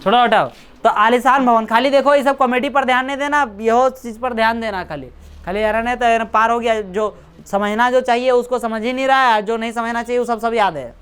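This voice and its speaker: A woman's voice: fairly high pitch, brisk, fairly bright tone, clean tone. Hindi woman teacher. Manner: keeps checking understanding, repeats dates, teasing asides. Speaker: coaching class teacher. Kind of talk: classroom lecture with questions to students. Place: classroom.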